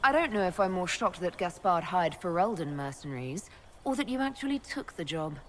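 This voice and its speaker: An actress playing a composed, wry elf mage leader in a video game, with a dry, assured manner. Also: female voice, fairly low pitch, animated, fairly quick, a little hiss or hum, natural voice. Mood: dry, incredulous.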